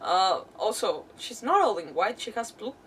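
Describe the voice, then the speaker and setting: A woman's voice: medium pitch, very animated, moderate pace, distant mic, a crowd in the background. A woman livestreaming a video game, chatting casually in a rambling, emphatic way and often repeating words. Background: faint game music.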